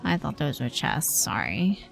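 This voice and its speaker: A woman's voice: extremely low pitch, sing-song, a little slow, creaky, soft background music. A woman livestreaming a game with casual, slangy, sweary reaction commentary in a playful, irreverent manner.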